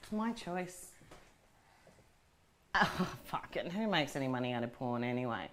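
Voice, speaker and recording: A woman's voice: rumbling low, expressive, unhurried, a bit bright, heavily acted. An actress in her forties playing a profane, panicky woman who scolds herself and talks herself through her fear, short of breath. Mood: defiant. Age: 40s. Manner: swears heavily, talks to herself. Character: frazzled forty-year-old woman, profane, self-scolding. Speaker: adult content creator. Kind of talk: dramatic monologue.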